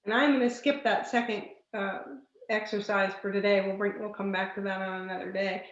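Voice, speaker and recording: A woman hosting an online discussion group, going hoarse and thinking aloud with many fillers and restarts. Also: mid-range voice, steady tone, fairly quick, roomy sound, lo-fi sound. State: hoarse, losing her voice. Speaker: meeting facilitator.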